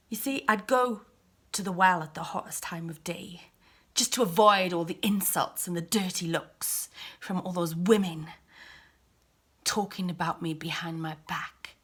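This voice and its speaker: A woman's voice: fairly low pitch, husky, exaggerated voice. A woman performing a first-person dramatic monologue as a weary outcast Samaritan woman, confiding and dropping to whispers. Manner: confiding, shifts between loud cries and hushed whispers.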